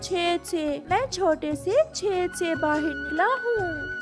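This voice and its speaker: A woman's voice: extremely high pitch, animated, takes it slowly, pitched above the performer's own voice, full character voice. A woman voicing a brave, polite little boy bird in a cartoon, earnest and childlike, with music behind. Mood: eager, pleading.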